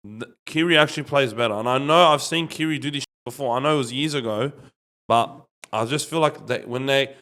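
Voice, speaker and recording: A man's voice: medium pitch, a little fast, a bit bright. Opinionated Australian man talking footy. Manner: slangy, opinionated, repeats himself for emphasis. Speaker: rugby league podcast panellist. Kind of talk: casual sports banter.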